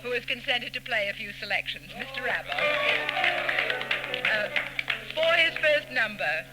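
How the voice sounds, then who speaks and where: An actress playing a well-bred society hostess, formal and polished, in a 1940s radio drama. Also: female voice, a little high, big pitch swings, measured pace, loud music behind the voice. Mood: gracious, bubbly. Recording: noisy old 1940s radio recording.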